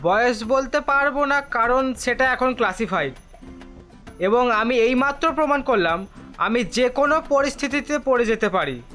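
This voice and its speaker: High-pitched, hapless male job seeker played by a man. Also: lively intonation, moderate pace, bright, forceful tone, faint music behind, exaggerated voice. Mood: eager, cocky. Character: hapless, wisecracking male job seeker, overconfident, perpetually unemployed. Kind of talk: comedy audio drama acting.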